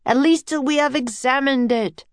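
A commanding male professor from a children's storybook, put on by a woman narrator. He is eager and authoritative, calling out orders with his pitch pushed up. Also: normal speed, no echo, natural voice.

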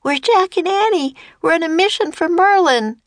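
A woman voicing a plucky, excitable young girl in a storybook, loud, urgent and emphatic. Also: sits high, unhurried, gravelly, dry recording, pitched above the performer's own voice, exaggerated voice. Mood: urgent, bold.

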